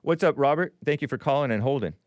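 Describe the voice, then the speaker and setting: Talk show host guy rambling thru the news, opinionated, restarts a lot, smooth voice. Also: mid-range voice, quick pace, dry recording. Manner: rambling, opinionated, self-interrupting. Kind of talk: casual talk radio monologue.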